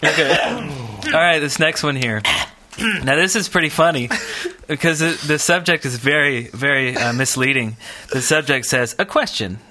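A man's voice: on the high side, moderate pace, pushed and bright. A man hosting a podcast in casual chat, with a joking, teasing manner. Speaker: podcast host.

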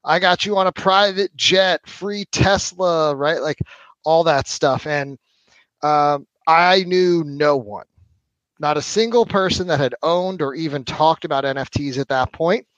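A man in his forties, a podcast guest, telling his story in a relaxed, casual way with lots of fillers. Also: a little high, measured pace, no echo, dull audio. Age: 40s. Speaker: podcast guest, marketing executive. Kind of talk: casual interview chat.

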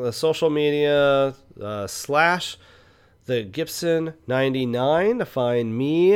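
A man's voice: a little high, smooth. Casual male movie podcast host. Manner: conversational, rhetorical check-in questions. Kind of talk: casual film review podcast talk.

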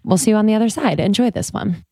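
A woman co-hosting a podcast in casual chat, bubbly and full of quick asides. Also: medium pitch, vocal fry, dark tone, no echo, studio quality. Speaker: podcast co-host.

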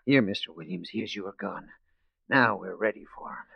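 An American actor in 1940s radio style playing a shrewd police detective posing as a crook, commanding, deliberate and theatrical. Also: male voice, sits low, gravelly, breathy edge, no room sound, full character voice. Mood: cool, sly. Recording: dull vintage 1940s radio recording.

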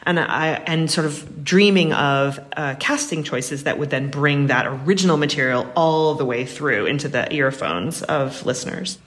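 A woman's voice: sits low, moderate pace, hardly any pauses. A woman writer talking as an interview guest, discursive and enthusiastic in casual conversation.

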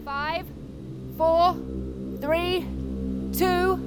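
An actor in an audio drama playing a high-voiced assistant who reports machine readings. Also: fairly even intonation, extremely slow, over music, full character voice. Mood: focused.

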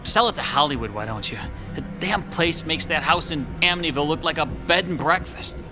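A man's voice: fairly high pitch, lively intonation, fairly quick, over music, close to an everyday voice. A man playing an exasperated young husband in a radio drama, weary and sardonic. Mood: weary, fed up. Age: young adult.

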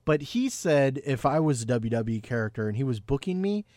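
A man co-hosting a casual podcast, excitable and rambling, with a fairly high voice. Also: fairly quick, dry recording. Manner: excitable, rambling, talks in bursts. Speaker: podcast co-host.

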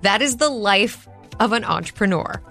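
A woman's voice: medium pitch, expressive, normal speed, a bit bright, faint music behind. A woman hosting an interview in a studio, chatty and upbeat, laughing often. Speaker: podcast host. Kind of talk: casual interview chat.

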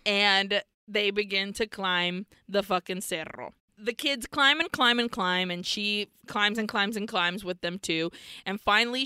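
A woman co-hosting a podcast, recapping a movie in an animated, swearing storytelling style and acting out the characters. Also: mid-range voice, smooth, no room sound, studio quality. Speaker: podcast co-host. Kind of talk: casual movie recap chat.

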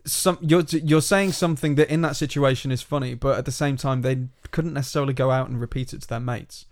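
A man's voice: mid-range voice, steady tone, fairly quick. A man with a Lancashire accent co-hosting a podcast, talking casually and stumbling over repeated words. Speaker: podcast co-host. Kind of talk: casual chat.

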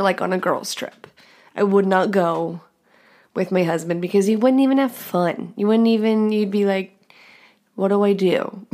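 A young adult woman hosting a podcast, telling a crude story candidly and casually, laughing often. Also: mid-range voice. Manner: giggly, frank and crude, lots of laughing asides. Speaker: podcast host.

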